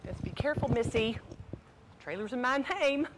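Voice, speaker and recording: An actress playing a crabby, gruff older sister in a stage play, a self-styled evil old crone. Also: female voice, a little high, moderate pace, background noise, natural voice. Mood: spiteful.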